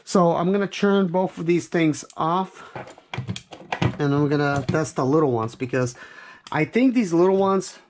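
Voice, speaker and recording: A man's voice: on the high side, measured pace. A man giving casual, rambling hands-on commentary as he tests a product, thinking aloud as he goes. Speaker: product reviewer. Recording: noisy recording with clicks.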